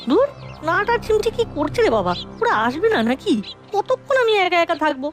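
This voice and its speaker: A little cartoon boy with a very high, squeaky-pitched voice. He is sulky and cheeky, answering back in a whiny, sassy way over background music. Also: big pitch swings, brisk. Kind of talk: children's cartoon voice acting.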